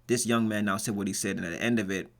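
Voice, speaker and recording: A man's voice: lowish voice, fairly even intonation, creaky. An American man hosting a reaction video, talking casually and rambling with frequent restarts. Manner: rambling, restarts often, drawn-out fillers. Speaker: reaction channel host.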